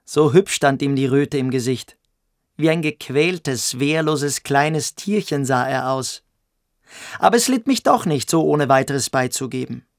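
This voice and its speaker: An actor playing a smug, quietly cruel young boarding-school pupil, telling his story theatrically in a radio play. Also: medium pitch, unhurried, dry recording, clean studio sound, natural voice. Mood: mocking. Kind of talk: dramatic first-person storytelling in a radio play.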